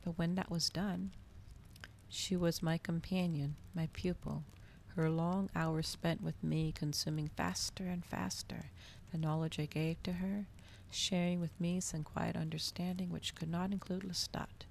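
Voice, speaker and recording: Woman, low pitch, gravelly fry, soft ASMR story reading, faint background noise. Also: fairly even intonation, a little slow. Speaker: streamer reading a novel aloud.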